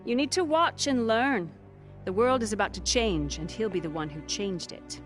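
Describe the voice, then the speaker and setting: A woman's voice: medium pitch, expressive, moderate pace, close to an everyday voice. Smug, condescending villainess, played by a woman. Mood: condescending, stern. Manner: haughty, taunting, lecturing. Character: smug, condescending female villain, coolly commanding captor. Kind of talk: video game cutscene voice acting. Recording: music behind the voice.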